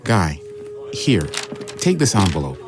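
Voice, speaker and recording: Low, animated, devout family man. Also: slow pace, background noise, heavily acted. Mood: heartfelt, grateful. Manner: earnest, heartfelt. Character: grateful, forgiving family man, warmly devout. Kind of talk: kids' audio drama acting.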